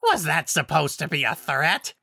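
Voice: medium pitch, big pitch swings, normal speed, gravelly, pushed and bright, husky, no echo, studio quality, voice pushed up, exaggerated voice. A narrator voicing a fierce, proud male werewolf pack alpha in a fantasy story, with a barking, menacing, blustering manner. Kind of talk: audiobook-style fantasy story character.

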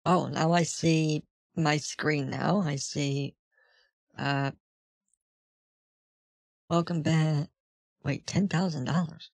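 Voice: medium pitch, fairly even intonation, slow pace, gravelly, pauses often, no room sound. A performer voicing a flustered retired older woman on a scam call, panicky and repeating herself. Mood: panicked. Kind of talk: prank call with a phone scammer.

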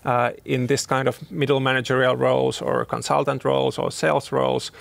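A man's voice: medium pitch, level tone, a little fast, vocal fry, dry recording. A man in his thirties, a company CEO, answering panel questions in a hesitant way with many restarts and fillers. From Finland.

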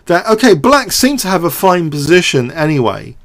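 A man's voice: on the high side, very animated, moderate pace, a bit bright. A man giving off-the-cuff game commentary as a show host, hesitant and thinking aloud with repeated words.

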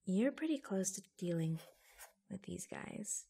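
Slow, breathy, creaky-voiced caring office assistant played by a woman. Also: medium pitch, animated, full character voice. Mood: reassuring. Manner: hushed, gentle, hesitant pauses. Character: attentive, reassuring female office assistant, secretly affectionate. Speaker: ASMR roleplay performer. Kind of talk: ASMR roleplay, soft-spoken with breathy whispers. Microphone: close microphone.

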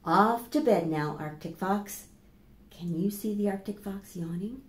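A middle-aged woman hosting a children's storytime, speaking slowly and deliberately to young listeners as she reads and asks questions. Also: medium pitch. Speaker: library storytime host. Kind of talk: children's storytime, reading picture books aloud. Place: small plain indoor room. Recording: echoey room with faint background noise.

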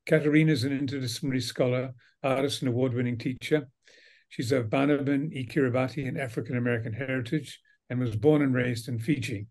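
A man in his seventies or older hosting a video call interview in a formal, courteous, measured way. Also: mid-range voice, steady tone, a little mellow. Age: 70s or older. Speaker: host, institute director. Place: indoor book-lined study, video call.

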